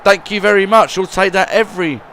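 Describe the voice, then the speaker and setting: A man's voice: high voice, fairly even intonation, brisk, fairly bright tone, slight background noise, voice pushed up. A man voicing a footballer who mockingly thanks the opponents for an easy goal, in a cheeky, playful tone. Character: smug male footballer gratefully taking a gift goal.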